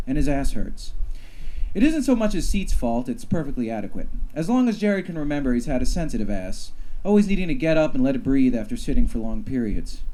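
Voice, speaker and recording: A man's voice: mid-range voice, warm tone, low-quality recording. A man reading his own comic science fiction short story aloud at a live reading event, with a dry, wry delivery.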